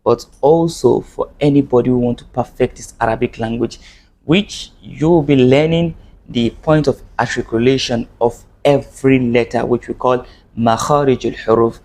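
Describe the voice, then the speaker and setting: A man gives a video lesson to camera. His pitch sits at a middle level for a man. He speaks in an earnest way, often repeating and restarting phrases as he explains. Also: steady tone, normal speed, darkish tone. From Nigeria. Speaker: Arabic teacher.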